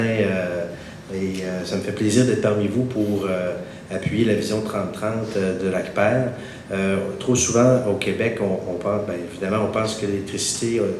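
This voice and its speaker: A man with a Québécois accent speaks in a fairly low, slightly congested voice, his cold audible as he reads a formal statement. He moves at a measured pace and fills gaps with hesitations. The room around him is echoey, with a faint rumble underneath. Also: a little mellow. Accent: Québécois French. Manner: measured, many "euh" hesitations. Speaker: wind energy centre spokesman at a press conference.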